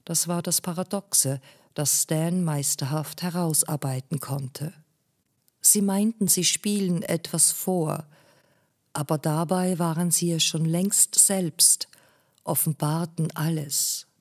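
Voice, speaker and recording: A woman reading scripted literary narration in a measured way, as an audiobook narrator. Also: deep voice, fairly even intonation, a little mellow.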